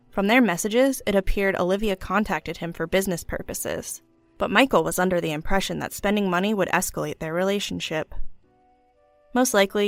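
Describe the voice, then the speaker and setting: A woman's voice: lowish voice, no room sound. A woman reading a scripted first-person story as its narrator. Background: background music.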